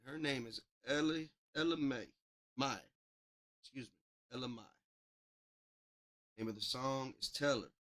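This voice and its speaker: A man's voice: medium pitch, a little slow, long pauses, fairly bright tone. A man hosting a music review livestream, giving casual, slangy, off-the-cuff commentary on songs. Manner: slangy, informal. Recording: music playing behind the voice. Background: music tracks being reviewed.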